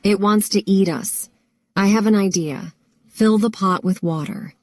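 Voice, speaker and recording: A woman's voice: medium pitch, unhurried, a little mellow, no echo, studio quality, close to an everyday voice. A woman voicing a proud, resourceful young pig in a children's story, eager and plain-spoken. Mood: resolute.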